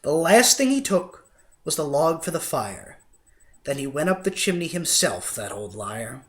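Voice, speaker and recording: A man's voice: a little high, measured pace. A man reading a rhyming storybook as a dramatic, theatrical narrator. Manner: theatrical, expressive. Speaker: storybook reader.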